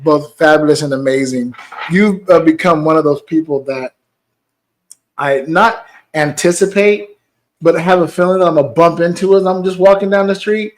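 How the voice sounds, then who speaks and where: A man's voice: fairly high pitch, moderate pace, poor audio. A man hosting a travel podcast, talking in a hyped, playful way, sitting down with a guest. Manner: hyped, playful, laughs easily. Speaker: podcast host. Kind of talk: casual podcast chat.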